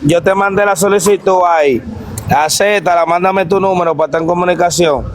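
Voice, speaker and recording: A man's voice: high voice, steady tone, a little fast. A man in casual, friendly chat as a guest on faintly noisy live audio. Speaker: guest participant in a live discussion.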